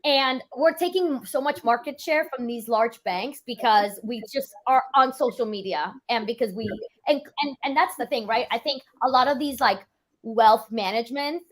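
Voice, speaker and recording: Young woman financial planner, chatty and quick, fillers everywhere, slightly high voice. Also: fairly bright tone. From Europe. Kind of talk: casual interview chat.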